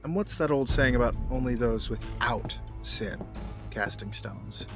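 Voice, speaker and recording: Smug villain guy, acted by a man, formal taunting delivery, mid pitch, audio drama with loud music under it. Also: unhurried, lightly acted. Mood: smug menace. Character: smug, menacing villain, coolly coercing a young woman. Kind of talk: scripted audio drama acting. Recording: music behind the voice.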